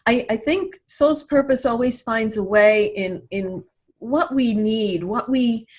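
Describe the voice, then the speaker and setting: Higher-voiced middle-aged woman, dull lo-fi audio. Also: slow pace, a little mellow. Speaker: podcast guest, life coach. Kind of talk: reflective interview conversation.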